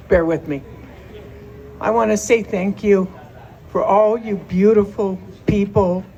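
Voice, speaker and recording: A woman's voice: lowish voice, mellow. A woman speaking at a memorial as the deceased's aunt, heartfelt and emotional, at times close to tears. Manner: heartfelt, tearful at times, with laughs. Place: memorial gathering.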